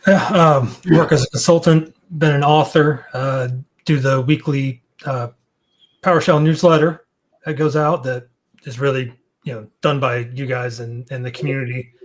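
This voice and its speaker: A man's voice: medium pitch, fairly even intonation, moderate pace, low-quality recording. Casual man, consultant on a video call. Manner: casual, loose. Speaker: consultant, panel guest. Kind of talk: self-introduction on video call.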